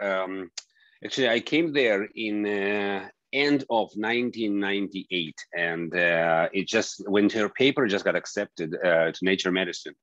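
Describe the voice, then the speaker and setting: A deep, low-voiced man from Georgia speaks from a home office over a video call. The sound is dry and dull, with little room in it. He talks in a relaxed, halting way, restarting phrases as he hunts for the right word. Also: dry recording. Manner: halting, restarts phrases while searching for words. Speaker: scientist guest. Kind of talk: relaxed interview answers.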